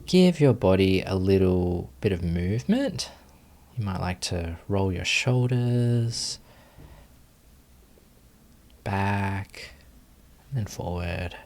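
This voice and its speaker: Low-voiced, slow-paced man guiding meditation. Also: animated. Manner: gentle, unhurried instructions with long pauses. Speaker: meditation teacher. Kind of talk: guided meditation.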